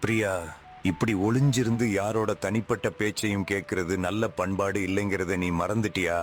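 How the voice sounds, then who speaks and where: A man's voice: fairly low pitch, fairly even intonation, soft background music, lightly acted. A man acting a principled, caring husband in a story drama, speaking earnestly. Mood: reproving. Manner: measured, earnest.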